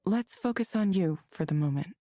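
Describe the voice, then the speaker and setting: An actress playing a calm, measured counselor in a visual novel game, asking gently probing questions in an even, neutral manner. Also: female voice, on the low side, dry recording, studio quality.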